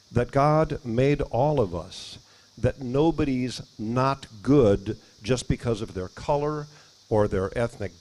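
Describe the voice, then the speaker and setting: A man speaking at an outdoor ribbon cutting, reading measured, prepared remarks about his family. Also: medium pitch, darkish tone, rough recording. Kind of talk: prepared ceremony speech. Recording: faint outdoor noise with crickets.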